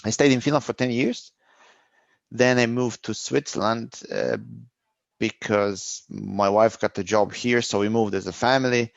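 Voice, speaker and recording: A man's voice: medium pitch, fairly even intonation, measured pace, gravelly, dry recording. Man, thoughtful, muffled audio. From Serbia. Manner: thoughtful, searching for words, frequent restarts. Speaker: guest. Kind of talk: conversational interview answers.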